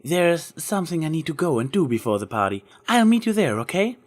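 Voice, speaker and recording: Man playing a proud magician unicorn mare, raised pitch. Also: very animated, brisk, long reverb tail. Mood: reassuring. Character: proud young female unicorn stage magician, gentle yet theatrical. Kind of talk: amateur fan fiction reading.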